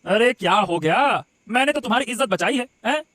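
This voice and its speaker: A man as a very high, cocky quarrelsome husband. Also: lively intonation, fairly bright tone, dry recording. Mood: dismissive, defensive. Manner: gloating, dismissive, drawn-out exclamations. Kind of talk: Hindi cartoon story dialogue.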